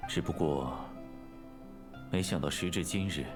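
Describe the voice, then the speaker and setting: A composed, courteous judge for a video game. His voice is strikingly deep and his speech formal and measured. Music plays clearly behind him. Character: composed, courteous male judge of high standing. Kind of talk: Chinese video game voice acting.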